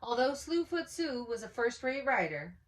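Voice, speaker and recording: A woman reading a picture book aloud as a storyteller, with animated, dramatic emphasis on key words. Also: fairly high pitch, normal speed, rough recording. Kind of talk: expressive children's story read-aloud.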